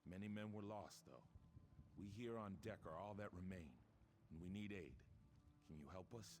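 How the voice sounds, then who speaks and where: An actor playing a proud, stern ship captain in a game, speaking in a formal, theatrical way. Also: male voice, sits low, normal speed, noisy background, lightly acted. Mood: pleading.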